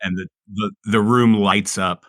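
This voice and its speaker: Dry, low-voiced male tabletop player. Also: fairly even intonation, unhurried, fairly bright tone, no echo. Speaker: player in a tabletop role-playing game. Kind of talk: casual improvised play-by-play of a character.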